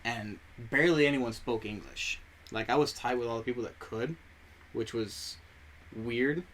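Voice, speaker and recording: A man's voice: medium pitch, normal speed, a bit bright, slight background noise. A young man whose first language is Spanish, co-hosting a podcast in casual chat and rambling through stories while he often corrects himself. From United States. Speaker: podcast co-host.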